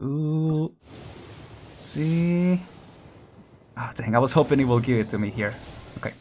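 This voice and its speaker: A man's voice: mid-range voice, expressive, a little slow, darkish tone, faint music behind. A young man casually commenting while streaming a game, chatty and laughing often, counting down as he plays. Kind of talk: casual live gameplay commentary.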